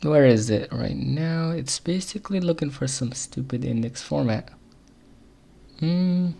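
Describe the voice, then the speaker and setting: Guy with an arabic accent livestreaming code, kinda gravelly voice, mumbling through errors to himself. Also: a little high, lively intonation, mellow. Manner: muttering to himself while debugging, quick chuckles. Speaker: programmer streaming live. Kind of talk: live coding stream, thinking aloud.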